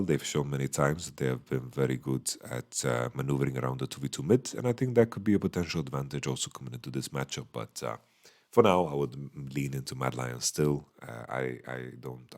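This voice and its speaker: A man with a slightly hoarse voice, casually giving a solo esports recap from a small hotel room into a microphone, thinking aloud with many fillers. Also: rumbling low. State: voice going a bit hoarse. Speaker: esports analyst. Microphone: studio microphone.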